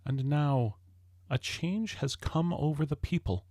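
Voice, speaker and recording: A man with a fairly high voice delivers a historical address in a very dry acoustic. He reads it as formal oratory, solemn and declamatory. His phrases are measured and set apart by deliberate pauses. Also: warm tone, no room sound. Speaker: reader of a historical speech. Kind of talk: formal oratory read aloud from a script.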